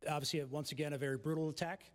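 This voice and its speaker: Measured man giving a press statement. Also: a little high, fairly even intonation. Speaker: official speaking to press.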